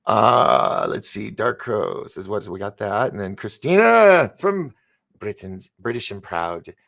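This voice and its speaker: A man's voice: lowish voice, very animated, unhurried, creaky, a bit bright. A man in his fifties hosting a live stream, chatting casually and rambling as he reads out viewer comments. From San Francisco. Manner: rambling, chatty, stumbles over words. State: recovering from an injury. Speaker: host of a live stream show.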